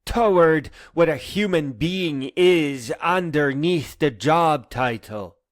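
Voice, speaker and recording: A man delivering a scripted spoken-word monologue in a solemn, deliberate way with poetic phrasing and pauses. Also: fairly high pitch, no echo, clean studio sound.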